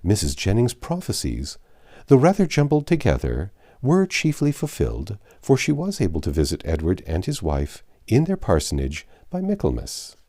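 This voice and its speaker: A man reading a classic novel aloud in a measured, expressive way, with the odd slip and self-correction. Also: lowish voice. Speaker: audiobook narrator.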